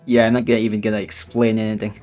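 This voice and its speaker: A man doing casual, deadpan narration as the host of a meme tier-list video. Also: lowish voice, very fast, soft background music.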